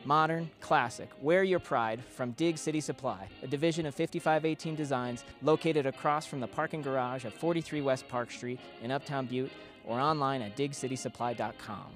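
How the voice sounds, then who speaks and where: A man reading a scripted ad in a steady promotional way. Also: medium pitch, brisk, faint music behind. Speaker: ad announcer. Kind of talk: scripted ad read.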